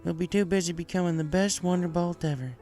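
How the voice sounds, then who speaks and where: A man voicing a caring, steady young female farm pony, folksy, reassuring and firm, in a story reading. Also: sits high, fast talker, soft background music, voice pushed up.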